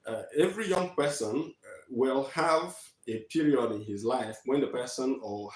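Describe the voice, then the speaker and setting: A man from Nigeria in his thirties giving a measured online talk from slides to students, with frequent fillers. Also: mid-range voice, roomy sound, rough recording. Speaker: guest speaker. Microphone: video call.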